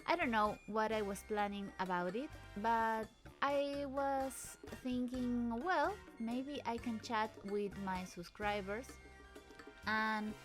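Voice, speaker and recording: A woman who is not a native English speaker, talking over video clips as the host of her channel in a hesitant, nervous way, often restarting her sentences, recorded at home in an apartment. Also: a little high. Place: apartment in a busy town area with traffic outside.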